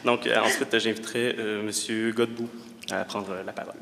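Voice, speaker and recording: A man's voice: on the low side, level tone, normal speed, vocal fry, background noise. A man moderating a debate, polite and brief as he introduces each speaker. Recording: reverberant hall, off-mic at times.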